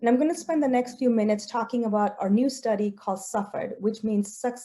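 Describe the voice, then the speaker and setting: A woman's voice: a little high, fairly quick, mellow. A woman in her forties, a researcher whose first language is Gujarati, giving an earnest, personal talk with slides. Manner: earnest, personal, with occasional fillers.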